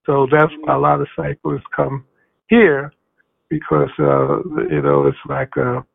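A man hosting a casual radio interview, talking haltingly with many fillers and restarts. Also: medium pitch, very animated, vocal fry. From United States. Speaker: radio host. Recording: tinny phone-like radio audio.

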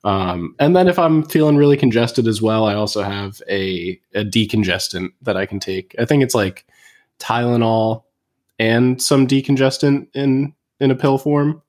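A man chatting casually and in a relaxed way about his own habits, sprinkling in "like" and "um.". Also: mid-range voice, normal speed. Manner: relaxed and conversational, with "like" and "um". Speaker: podcast co-host. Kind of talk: casual chat.

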